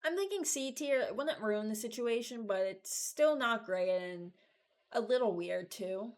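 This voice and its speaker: A young adult woman giving casual, unscripted reaction commentary, hesitant and thinking out loud. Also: fairly high pitch, animated, unhurried. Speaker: video creator ranking game voice lines.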